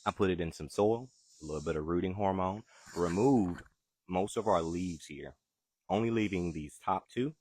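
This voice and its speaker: A man's voice: low-pitched, normal speed, a little mellow, husky, dry recording. A man with a Southern accent, hosting a live gardening chat outdoors in his backyard and answering viewer questions in an easygoing, chatty way. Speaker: gardening show host.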